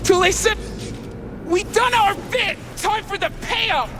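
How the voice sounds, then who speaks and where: High-pitched, mocking street thug. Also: male voice, unhurried, over music. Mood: mocking, irritated. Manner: swearing, mocking, aggressive. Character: taunting violent street gang thug, crude and mocking. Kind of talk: video game voice acting.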